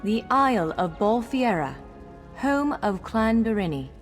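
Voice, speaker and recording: A woman's voice: fairly high pitch, sing-song, unhurried. A woman playing a courteous, wry elf scholar guiding someone in a video game, articulate and formal yet playful. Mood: calm, wary. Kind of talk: video game character dialogue. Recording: long hall reverb over music.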